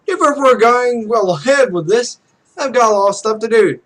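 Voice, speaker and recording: A woman's voice: fairly high pitch, expressive, normal speed, voice pushed up. A man voicing a blunt, demanding young female pro gamer with a curt, commanding manner in a visual novel. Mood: brisk, demanding.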